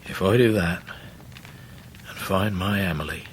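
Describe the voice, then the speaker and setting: Humble old East Anglian fisherman, low-voiced. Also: a little slow, slight background noise. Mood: grave, determined. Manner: rustic dialect, plain-spoken and earnest. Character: humble, devoted old working-class fisherman searching for his niece. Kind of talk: full-cast radio drama acting.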